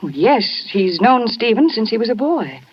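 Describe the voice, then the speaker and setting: A woman's voice: a little high, sing-song, moderate pace, slight background noise. An actress playing an affectionate, poised wealthy wife with theatrical, ladylike delivery in a 1950s radio drama. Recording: muffled 1950s radio recording.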